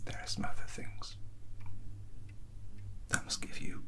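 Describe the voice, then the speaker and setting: A man playing an elderly, gentle father, a wistful retired war officer, in a halting, breathy half-whisper for an ASMR roleplay. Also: natural voice. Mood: gentle, fussing. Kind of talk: soft-spoken ASMR roleplay.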